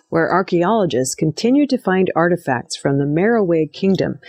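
A woman's voice: low-pitched, darkish tone, no echo. A woman reads the news from a prepared script. She works as a narrator of reports, item after item. The recording is dry, with hardly any room around the voice. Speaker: news reader.

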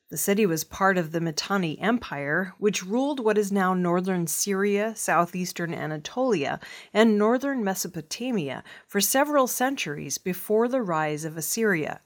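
A woman news reader delivering scripted news narration. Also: mid-range voice.

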